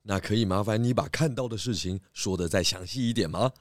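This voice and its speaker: A man voicing a calm, polite pig detective who asks questions patiently in a children's audio drama. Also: fairly low pitch, brisk, dry recording, clean studio sound, lightly acted. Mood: reassuring.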